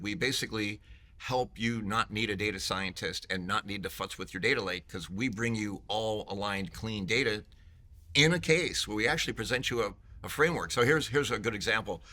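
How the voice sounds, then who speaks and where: Bright-voiced salesy man, joking. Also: fairly low pitch, brisk. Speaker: vendor marketing executive. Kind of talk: conference booth interview.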